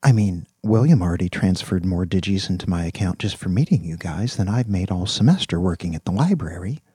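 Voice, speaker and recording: A man's voice: sits low, animated, a little fast, dark tone, slightly rough, dry recording, clean studio sound, heavily acted. A man voicing an eager, naive young male college student in an audiobook, chatting in casual slang. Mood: eager, chatty. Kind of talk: audiobook character dialogue.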